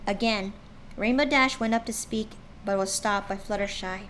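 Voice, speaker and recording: A woman's voice: mid-range voice, moderate pace, noisy background. A woman streamer reading fan fiction aloud live, casually stumbling and correcting herself.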